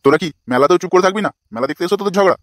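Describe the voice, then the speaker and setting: A man's voice: high voice, animated, very fast, no echo, clean studio sound. A man voicing an exasperated, foul-mouthed older village father in a comic cartoon, scolding crudely.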